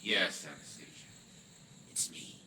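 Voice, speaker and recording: A man's voice: measured pace, bright, forceful tone, slight background noise. An actor playing a pompous, condescending old grandfather scientist in a video game, a ruthless mind preserved as a machine intelligence. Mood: grandly self-assured. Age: older. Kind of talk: dramatic video game acting.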